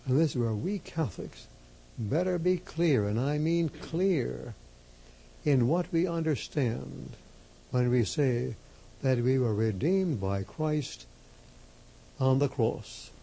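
Slow gravelly priest guy, dark husky voice, slovak first language, hammers and repeats his points. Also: medium pitch, expressive, poor audio. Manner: emphatic, measured, repeats key phrases. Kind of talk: slow deliberate sermon.

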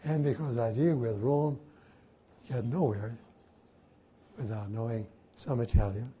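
A man's voice: mid-range voice, unhurried, mellow. An older man, a Jesuit priest whose first language was Slovak, teaching a class informally and spelling words out letter by letter. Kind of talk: informal lecture and Q&A.

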